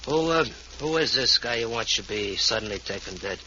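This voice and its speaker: An actor playing a menacing gang boss with slangy, mock-courteous streetwise phrasing in an old-time radio crime drama. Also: male voice, lowish voice, lively intonation, a little fast, bright, forceful tone. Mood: quietly menacing. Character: male gangland boss, folksy menacing tough guy. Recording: muffled, hissy old radio recording.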